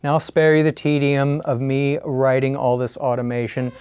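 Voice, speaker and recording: A man's voice: mid-range voice, little pitch movement, moderate pace, darkish tone, clear, resonant tone, no echo. An American man in his forties giving plain-spoken, scripted tutorial narration in a studio. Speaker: tutorial host. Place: studio, indoors.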